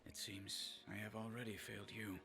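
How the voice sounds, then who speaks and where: An actor playing a stern, dutiful swordsman brother, solemn and measured, in a fantasy game cinematic. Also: lowish voice, little pitch movement, bright, forceful tone, soft background music.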